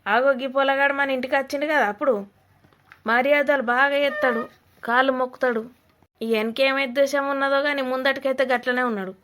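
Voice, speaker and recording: Woman acting a high, flat-toned Telangana village granny. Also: a little fast. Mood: suspicious. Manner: blunt, earthy, mocking, informal. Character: blunt, scolding old village grandmother. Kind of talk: rustic village comedy cartoon dialogue.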